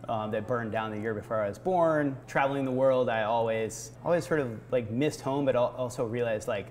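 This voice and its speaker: An American man in his thirties from Vermont giving reflective interview answers, haltingly, searching for words. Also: medium pitch, a little mellow. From Vermont, United States. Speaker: brewery founder being interviewed. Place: indoor barrel room of a brewery. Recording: noticeable background room noise.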